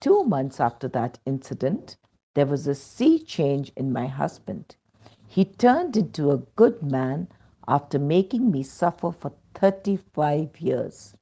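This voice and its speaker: A woman from India with an Indian accent reads a scripted devotional testimonial aloud in a measured, storytelling way. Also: low voice, big pitch swings, mellow, slightly rough. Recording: dull, muffled lo-fi sound.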